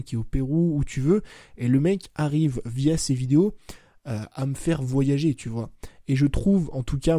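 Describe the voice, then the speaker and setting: A man's voice: mid-range voice, mellow, no echo, studio quality. A man in his twenties hosting a casual solo podcast, talking loosely with lots of fillers and self-corrections. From France. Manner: chatty, loose and informal, says "tu vois" and "bah" constantly, self-corrects. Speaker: podcast host. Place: at home.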